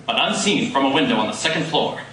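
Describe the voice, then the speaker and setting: A man's voice: mid-range voice, animated, fast talker, sharp tone. Guy doing big dramatic 1940s radio narration, voice swoops around, really echoey, music behind. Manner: grandiose, dramatic. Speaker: announcer and narrator. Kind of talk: theatrical 1940s radio announcing, scripted narration. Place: live performance in a coffee house. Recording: echoey room from far off, music.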